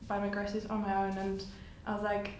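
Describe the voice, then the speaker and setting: A woman's voice: medium pitch, completely flat delivery, fast talker, darkish tone. A woman in her twenties from Germany, chatting casually as a podcast guest with lots of fillers. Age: 20s. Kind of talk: casual chat. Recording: echoey room with faint noise.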